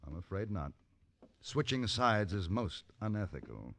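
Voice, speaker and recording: An actor playing a calm, principled gunfighter for hire in an old radio western, speaking in a measured, dry, quietly menacing way. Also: male voice, lowish voice, heavily acted. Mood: calm, firm.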